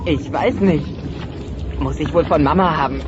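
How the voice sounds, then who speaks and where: High-voiced teenage dinosaur boy played by a man. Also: moderate pace, over music, close to an everyday voice. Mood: earnest. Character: defiant but affectionate teenage dinosaur son. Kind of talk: German-dubbed sitcom radio play. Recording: dull cassette transfer.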